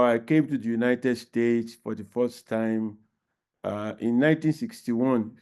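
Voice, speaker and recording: A man in his eighties with a Nigerian accent, speaking as a panelist on an online panel in a halting, deliberate way with many pauses. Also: medium pitch, a little mellow. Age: 80s.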